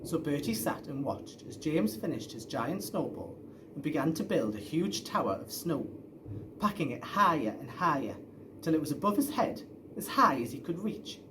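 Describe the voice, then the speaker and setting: A man's voice: a little high, big pitch swings, normal speed, background noise. A man reading a children's story aloud expressively, as a storyteller. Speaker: story reader.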